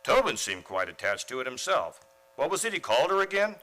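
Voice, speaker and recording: A man plays an earnest, oblivious teacher in a radio comedy. His voice is bright and forceful, swinging widely in pitch. He comes across as mild-mannered but eager, and thoroughly naive. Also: sing-song, a little fast. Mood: earnest, amused. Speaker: actor in a radio play. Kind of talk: comedic radio play acting.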